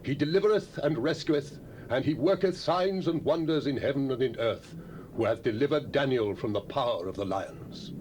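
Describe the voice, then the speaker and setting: A man's voice: medium pitch, normal speed, a little mellow, slight background noise, lightly acted. An actor playing an ancient Persian king, speaking in formal, solemn scripture language in a 1970s dramatized audio drama. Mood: proclaiming.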